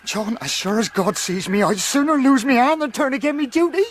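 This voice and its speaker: High-voiced, impassioned young seaman. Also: lively intonation, a little fast, heavy background noise. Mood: defiant, shocked. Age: young adult. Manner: impassioned, emphatic. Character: honest, defiant young seaman refusing mutiny. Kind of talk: radio drama acting.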